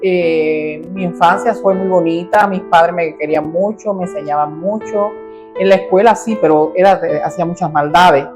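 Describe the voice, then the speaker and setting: A middle-aged Cuban woman with a lower voice tells her life story as an interview guest. She is animated and acts out her family's voices as she goes. Faint guitar music plays behind her. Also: moderate pace. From Cuba.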